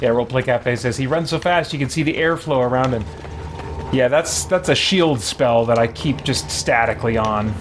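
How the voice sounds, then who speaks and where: A man's voice: mid-range voice, normal speed, slight background noise. A man streaming a game, chatting casually and thinking aloud as he plays. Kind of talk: casual live game commentary. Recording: game music behind the voice.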